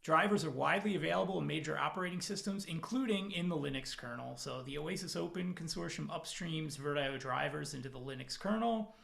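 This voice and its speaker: A man presenting as a product manager at a technical conference, talking from home over a video call in a relaxed, self-deprecating way with plenty of fillers. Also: fairly high pitch, a little fast, vocal fry, few pauses. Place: home, in the evening.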